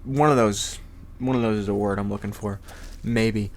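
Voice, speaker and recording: A man's voice: fairly low pitch, steady tone, moderate pace. A young man with a congested voice, rambling casually as a podcast host, swearing freely and laughing often.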